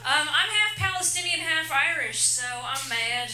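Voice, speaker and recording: High-voiced Southern woman doing stand-up. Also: animated, measured pace. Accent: Texas Southern twang. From Texas, United States. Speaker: stand-up comedian. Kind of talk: live stand-up comedy. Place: comedy club stage, on a microphone. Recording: noisy, echoey live club recording.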